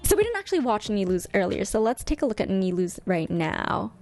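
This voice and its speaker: A woman streamer chatting casually with her viewers, commenting in an expressive, gushing way and thinking her choices through out loud. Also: mid-range voice, fairly quick. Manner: expressive, gushing, deliberating aloud. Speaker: game streamer and host.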